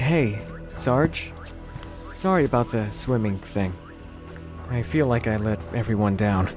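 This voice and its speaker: An actor playing an eager, apologetic young private in an audio drama, speaking earnestly. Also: male voice, fairly low pitch, a little slow, natural voice. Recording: music behind the voice.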